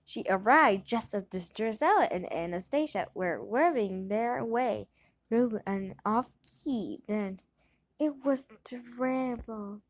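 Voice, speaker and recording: A woman reading a children's story aloud, in a halting way with stumbles and repeated words. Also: fairly high pitch. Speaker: storybook reader. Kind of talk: children's read-along narration.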